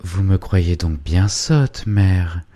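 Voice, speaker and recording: A man's voice: low-pitched, steady tone, slow pace, warm tone. A man reading a storybook voicing a naive young woman who dreams aloud, airy and rapturous. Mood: dreamy.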